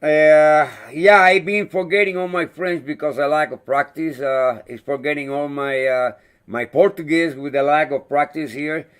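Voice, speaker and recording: A man whose first language is not English, hosting a casual live chat, talking in a halting way and searching for words. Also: a little high, fairly even intonation, clean tone. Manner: friendly, thanks viewers by name. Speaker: livestream host.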